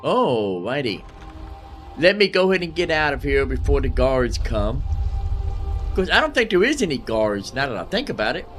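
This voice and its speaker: A man's voice: medium pitch, very animated, moderate pace, a bit bright. Man, casual gaming streamer, folksy, thinking aloud, repeats himself, music behind. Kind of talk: casual live game commentary.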